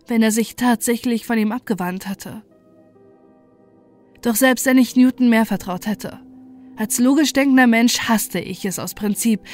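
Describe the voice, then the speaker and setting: High-voiced woman narrating horror fiction. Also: a little mellow. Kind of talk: scripted first-person horror story narration.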